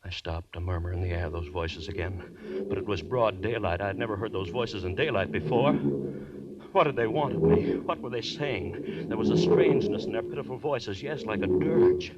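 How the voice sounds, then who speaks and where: An actor playing a tormented, desperate murderer and lecturer in intense, melodramatic 1940s radio horror style, with dramatic pauses. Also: male voice, deep voice, muffled recording, a loud crowd, lightly acted. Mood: anguished, brooding. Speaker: narrator and lead actor.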